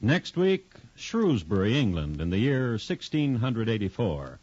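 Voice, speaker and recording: An actor playing a wry, deliberate crime chronicler, narrating as host in 1950s radio drama style. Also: male voice, fairly low pitch, sing-song, darkish tone, dry recording. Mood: dry, wry. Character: wry, measured male crime chronicler hosting a radio series. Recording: dull, noisy 1950s radio recording.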